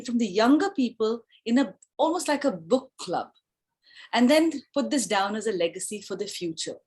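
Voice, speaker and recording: Earnest woman pitching a project. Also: a little mellow. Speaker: project organizer. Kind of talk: video call.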